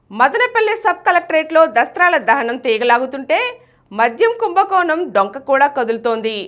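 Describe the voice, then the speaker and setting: Female news reader, high voice, animated intonation, scripted bulletin delivery.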